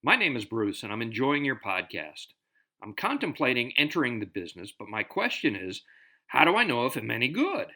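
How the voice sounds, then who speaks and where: A man's voice: medium pitch, huge pitch swings, brisk, pushed and bright. A man sending in a recorded question to a podcast, joking about himself while asking for advice.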